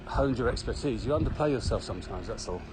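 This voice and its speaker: A man's voice: mid-range voice, animated, fast talker, a crowd in the background. A British man hosting a casual podcast interview outdoors on a walk, asking questions and informally restating the answers. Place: outdoors, walking on a country path. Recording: noisy outdoor ambience.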